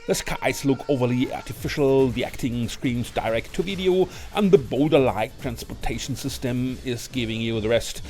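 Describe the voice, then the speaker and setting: A man's voice: mid-range voice, a little mellow, a little hiss or hum. A man presenting a scripted film review as a ranked list, in the manner of a video narrator. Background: music.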